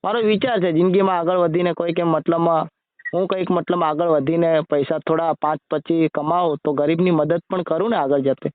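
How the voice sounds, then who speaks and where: A man's voice: a little high, fairly even intonation, brisk. A man with a Gujarati accent chatting casually on a phone call, colloquial, repeating himself and asking questions. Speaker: amateur video creator on a phone call. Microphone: recorded phone call. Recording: muffled lo-fi phone call recording.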